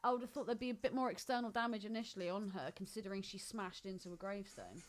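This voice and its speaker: A woman's voice: mid-range voice, fast talker. A woman co-hosting a podcast, bantering informally about films in a teasing, opinionated way. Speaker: podcast co-host. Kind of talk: casual film banter.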